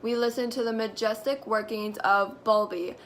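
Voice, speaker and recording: A teenage girl giving a mock-serious school presentation, telling a made-up story in a deadpan, mock-formal way. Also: on the high side, normal speed, slight background noise. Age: teens. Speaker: student presenter.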